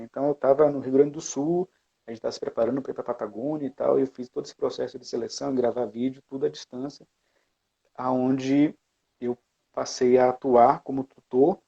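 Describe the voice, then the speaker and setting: A man's voice: medium pitch, vocal fry, dark tone, husky, lo-fi sound. A man from Bahia, talking as an interview guest about his path as a music educator, reflective and full of fillers and restarts. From Brazil, Bahia. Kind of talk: casual interview talk.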